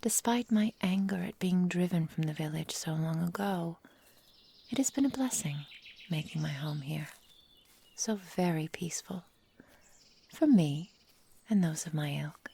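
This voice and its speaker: A woman playing an adoring, possessive immortal forest witch in an intimate ASMR roleplay, with soft whispery speech, tender endearments and formal storybook phrasing. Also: lowish voice, a little slow, gravelly, pauses often, breathy edge, heavily acted. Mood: wistful, tender. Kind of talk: intimate ASMR roleplay, slow dramatic delivery.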